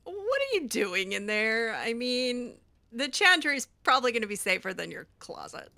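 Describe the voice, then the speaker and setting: A woman's voice: a little high, big pitch swings, moderate pace, creaky, bright, forceful tone. A woman roleplaying her way through a fantasy video game, improvising in character with a hesitant, wry, sarcastic manner. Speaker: gamer roleplaying a mage heroine.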